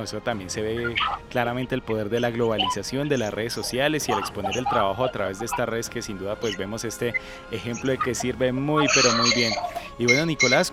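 A male interviewer hosts the conversation with encouraging, affirming comments and a polished closing sign-off. His pitch sits in the middle range for a man. Loud music runs under everything he says. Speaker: interviewer, web show host. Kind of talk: interview hosting.

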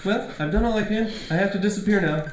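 Guy doing bumbling servant for a radio comedy, highish voice, rambly and unsure, very echoey. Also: fast, natural voice. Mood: flustered. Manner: hesitant, rambling. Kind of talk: comedic improvised radio play.

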